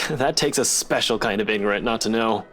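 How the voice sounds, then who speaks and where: A man's voice: on the low side, brisk, fairly bright tone, faint music behind, natural voice. Dry, sardonic male investigator played by an actor. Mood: dry, resigned. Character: young skeptical, wry male investigator. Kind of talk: visual novel game voice acting.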